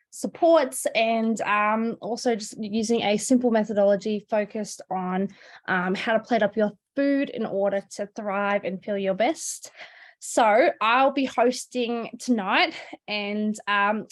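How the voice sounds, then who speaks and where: A woman opening an online event as its host on a video call, upbeat and full of "um" fillers as she introduces the speakers. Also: on the high side. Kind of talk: welcome and introduction.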